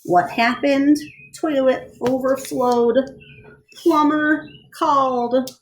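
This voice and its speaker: A woman reading a picture book aloud to children in an expressive style, pausing to question and explain things to her young listeners. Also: high voice, a little hiss or hum, low-quality recording. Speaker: read-aloud storyteller.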